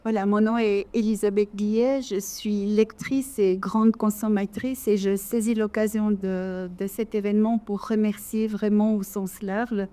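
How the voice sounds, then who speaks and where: A woman speaks off the cuff from the audience at a literary gathering. Her voice is soft-edged and mellow, at an average pitch, and she hesitates and repeats words while building her thoughts. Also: steady tone, a little slow, hardly any pauses, clean tone. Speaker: audience member, volunteer reader for audio library. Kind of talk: impromptu audience remarks at a literary event. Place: live literary café event.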